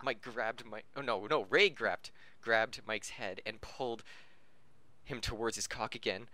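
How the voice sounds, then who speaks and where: A man's voice: on the low side, a little slow, bright, forceful tone, dry recording. A man reading a story aloud as an amateur podcast host, giggly and tripping over words. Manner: stumbles over the text, giggly.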